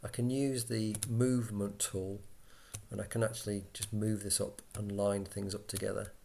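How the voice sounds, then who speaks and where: Male software trainer, lowish and creaky, informal and tentative, on a laptop mic with light noise and key clicks. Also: level tone, normal speed. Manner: casual, hesitant, thinking aloud. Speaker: tutorial presenter for radio volunteers. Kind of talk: informal software screencast tutorial. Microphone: built-in laptop microphone.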